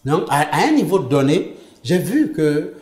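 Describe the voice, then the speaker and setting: A man's voice: a little high, big pitch swings, measured pace, darkish tone. A man whose first language is English, speaking as an interview guest and telling his own story in an earnest, emphatic way. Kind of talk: personal testimony in conversation. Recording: roomy echo.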